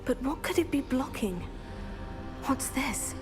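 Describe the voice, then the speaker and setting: Young witch student, high and expressive, observant, full of questions; fantasy game with music behind. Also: unhurried. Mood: curious. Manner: questioning, wondering. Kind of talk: video game voice acting.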